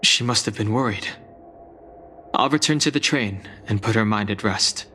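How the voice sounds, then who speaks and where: A man's voice: lowish voice, moderate pace, sharp tone, slight background noise. An actor voicing a reserved, composed young man in a video game, speaking tersely.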